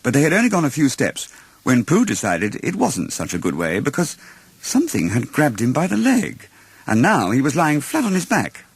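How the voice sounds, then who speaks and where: A man reading a children's storybook as narrator in an expressive storytelling style. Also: mid-range voice, normal speed, vocal fry, breathy edge, no room sound. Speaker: storyteller, audiobook reader.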